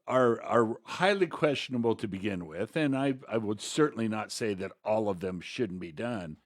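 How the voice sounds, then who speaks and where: Middle-aged man, lowish voice, talking politics. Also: lively intonation, normal speed, no room sound, studio quality. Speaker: talk show co-host. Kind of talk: conversational political commentary.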